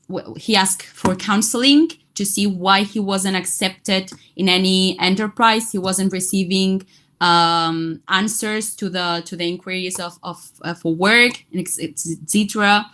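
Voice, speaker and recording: Halting woman presenting in a webinar. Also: medium pitch, a bit bright. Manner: halting, many restarts and fillers. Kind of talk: webinar talk in English.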